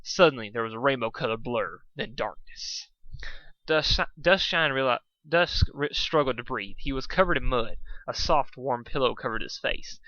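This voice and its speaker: Bright-voiced man reading stories informally. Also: mid-range voice, animated, moderate pace, no echo. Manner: stumbles over words and comments on the text. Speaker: amateur fanfiction reader. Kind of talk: informal storybook narration with asides. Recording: dull, lo-fi home recording.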